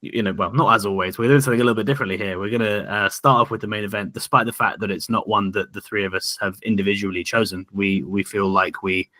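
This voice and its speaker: A man's voice: on the low side, fairly even intonation, fast, few pauses, pushed and bright, no room sound. A man hosting a sports podcast, leading the chat in a rambling, thoughtful way. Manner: rambling, thoughtful, leads the talk. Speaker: podcast host.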